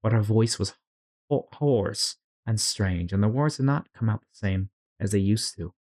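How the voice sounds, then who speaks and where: A storyteller reading a children's classic aloud as expressive narration for a read-along. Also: mid-range voice, unhurried.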